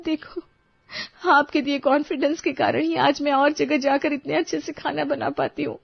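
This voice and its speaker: A woman voicing a humble, apologetic working mother with a pleading, self-effacing manner in an animated moral story. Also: high voice, normal speed, vocal fry, dry recording, dull audio, pitched above the performer's own voice.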